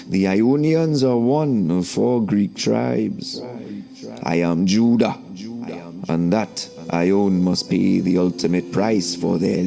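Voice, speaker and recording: Slow, gravelly low-voiced man narrating. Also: warm tone. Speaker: narrator and host of a spiritual story series. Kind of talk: scripted narration, preachy spoken-word teaching. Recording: voice over background music. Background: music.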